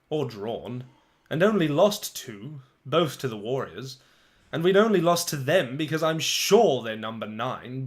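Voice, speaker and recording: A man narrating a children's novel as a dramatic reading, with expressive, theatrical delivery and dramatic pauses. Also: mid-range voice.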